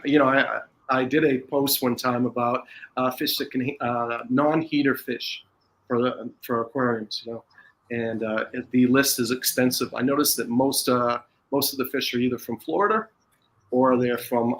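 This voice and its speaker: A man's voice: medium pitch, fairly even intonation, moderate pace, a bit bright, poor audio. A man with an American accent, a podcast guest in casual chat, talking in a rambling way with frequent restarts.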